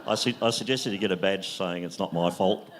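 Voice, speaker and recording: Lower-voiced man wrapping up a talk, noisy. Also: fairly quick. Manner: jokey, loose wrap-up. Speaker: conference speaker. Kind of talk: closing a presentation. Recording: noisy recording.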